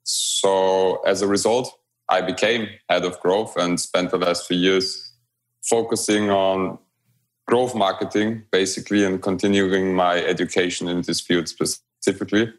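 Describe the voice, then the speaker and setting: A young man from Romania speaks in a very low voice. He talks as an interview guest, relaxed and halting, with fillers and small restarts. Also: rough recording. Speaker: guest, blockchain company growth lead. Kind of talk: remote interview conversation.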